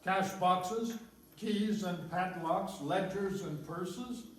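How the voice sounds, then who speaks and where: A man's voice: high voice, extremely slow, a bit bright, full character voice. A man playing a crotchety old miserly businessman in a radio play, querulous and exclamatory. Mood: frightened, pleading. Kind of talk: stage radio-play acting. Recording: noisy, very echoey room, far from mic.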